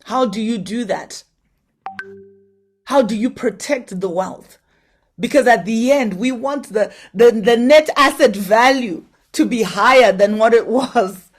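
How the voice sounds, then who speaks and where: A woman from Zimbabwe, speaking in a fairly high voice. She delivers a motivational address to a conference audience and keeps pressing them with direct questions. Her tone is earnest and exhorting. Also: measured pace.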